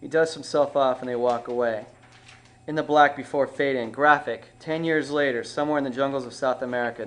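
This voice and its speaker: Man, screenplay narrator, mid-pitched voice, plain delivery, occasional stumbles, table-read reader. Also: a bit bright. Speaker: writer reading stage directions. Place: small dim indoor room.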